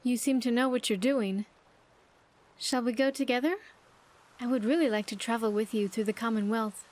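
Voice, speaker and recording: A woman's voice: on the high side, steady tone, normal speed, darkish tone, a little hiss or hum, close to an everyday voice. A woman playing an earnest, grateful young survivor companion in a video game, speaking in careful, formal phrases. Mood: earnest, hopeful. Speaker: companion character in a game mod. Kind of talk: scripted game dialogue.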